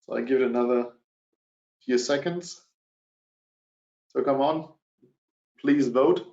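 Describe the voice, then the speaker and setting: German-accented middle-aged male presenter, hesitant delivery, average pitch, echoey room. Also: slow pace, warm tone. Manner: hesitant, many fillers. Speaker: webinar presenter. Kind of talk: presenting a business webinar. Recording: dull, roomy webinar audio.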